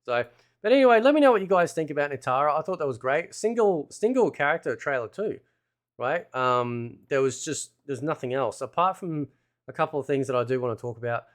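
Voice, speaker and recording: A man's voice: on the high side, lively intonation, fairly quick. A man hosting a gaming channel, giving casual trailer commentary in a rambling way, thinking aloud as he goes.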